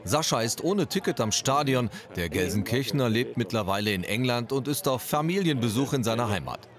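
Lively male news narrator. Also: mid-range voice, a little fast, a crowd in the background. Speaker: news reporter, voice-over.

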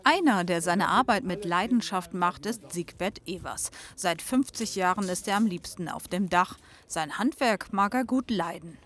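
A woman narrating a news report as a measured scripted voice-over. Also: fairly low pitch, fairly bright tone.

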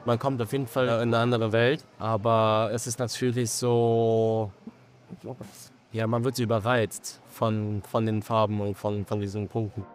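A man's voice: fairly low pitch, level tone, unhurried, faint music behind. Hesitant man in his thirties, interviewed. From Germany. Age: 30s. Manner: hesitant, many fillers and restarts. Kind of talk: casual interview talk.

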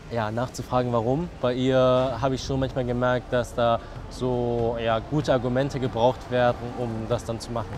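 A man's voice: mid-range voice, a little mellow, slight background noise. Hesitant man in his thirties. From Germany. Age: 30s. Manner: hesitant, many fillers and restarts. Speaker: interviewed artist. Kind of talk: casual interview answers.

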